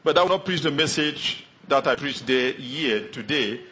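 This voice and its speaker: A middle-aged man from Africa whose first language is French, preaching a sermon as a visiting pastor, talking quickly with many fillers and restarts. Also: medium pitch, lively intonation, sharp tone, a little hiss or hum, low-quality recording. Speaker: guest pastor.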